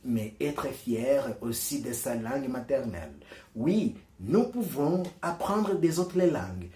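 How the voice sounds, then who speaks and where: A man giving a slow, deliberate reflective monologue, earnest and persuasive, pausing to search for words. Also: medium pitch, mellow, echoey room. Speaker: commentator on religion and culture.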